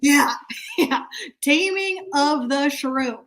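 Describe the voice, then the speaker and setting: A woman's voice: high-pitched, animated, very slow, a bit bright, exaggerated voice. A woman playing a tipsy, haughty theater director in a comic staged reading, rambling and repeating herself. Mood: grandiose, tipsy.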